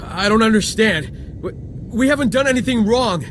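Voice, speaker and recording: An actor playing a desperate, sick villager and devoted husband in a video game, emotional and faltering. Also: male voice, sits high, expressive, normal speed, fairly bright tone, slight background noise. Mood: desperate pleading. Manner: pleading, stammering, emotional. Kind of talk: video game dialogue acting.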